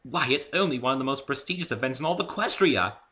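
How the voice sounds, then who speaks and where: A man voicing a refined, theatrical female unicorn fashion designer with genteel, drawn-out emphasis in a story reading. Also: medium pitch, lively intonation, bright, forceful tone, pitched above the performer's own voice. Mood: proud, dramatic.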